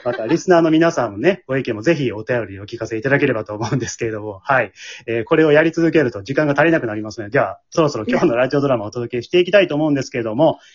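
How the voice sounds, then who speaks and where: A man's voice: mid-range voice, expressive, racing, lo-fi sound. A man with a Kansai Japanese accent hosting a casual radio show in a polite, playful way. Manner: polite, playful, often laughing. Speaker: host and amateur drama troupe leader.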